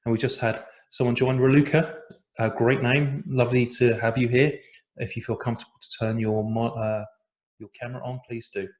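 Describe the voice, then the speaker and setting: A man in his forties with an English accent, chatting gently as he leads an online breathwork workshop. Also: mid-range voice, reverberant. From England. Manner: chatty, gentle, with many fillers. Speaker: breathwork facilitator. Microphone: video call.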